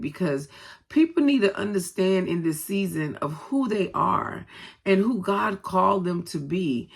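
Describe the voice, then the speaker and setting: Older woman w/ a head cold, stuffy nose, chatty vlog talk, lots of ums, mid-pitch. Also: gravelly. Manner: conversational, many "um"s, talks straight to viewers. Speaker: vlogger and co-pastor. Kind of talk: casual personal vlog talk.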